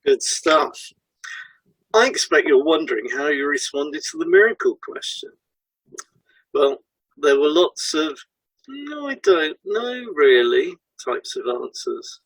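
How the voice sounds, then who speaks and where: A man's voice: fairly high pitch, lively intonation, slow, fairly bright tone, husky, dry recording, low-quality recording. A man co-hosting a podcast, recounting a client case in a scripted dialogue. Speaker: podcast co-host, hypnotherapist.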